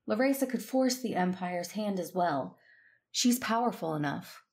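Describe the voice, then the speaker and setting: Female Jedi lead for audio drama: earnest, determined, introspective, on the lower side with gravelly edges. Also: lively intonation, unhurried, darkish tone. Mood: pleading, defiant. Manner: introspective, earnest first-person narrating. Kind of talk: audio drama acting with narration.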